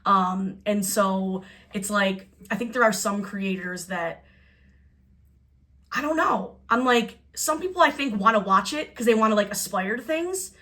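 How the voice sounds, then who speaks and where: Young woman, podcast host, chatty and animated, from upstate New York. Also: medium pitch, measured pace. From Buffalo, New York. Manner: chatty, animated, trails off and restarts. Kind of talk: casual chat.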